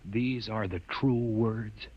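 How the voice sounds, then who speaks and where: An actor playing a bold, defiant young crewman who challenges forcefully, in a vintage science-fiction radio drama. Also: fairly low pitch, fairly even intonation, slow pace, lo-fi sound, over loud music. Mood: probing.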